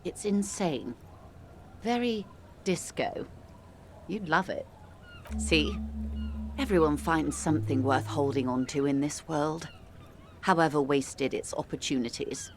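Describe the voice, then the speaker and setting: Low-voiced, worldly, composed woman. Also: background noise. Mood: gently reassuring. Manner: measured, eloquent, calls the listener dear and darling. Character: worldly, composed older woman explaining cosmic dread, gently condescending. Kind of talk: dramatic video game dialogue. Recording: music playing under the voice.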